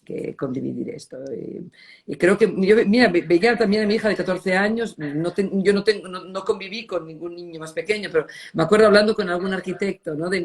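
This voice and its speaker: A middle-aged woman from Spain, speaking in a reflective interview and often pausing to search for words, with Italian words slipping in. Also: fairly low pitch, darkish tone. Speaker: designer interviewee.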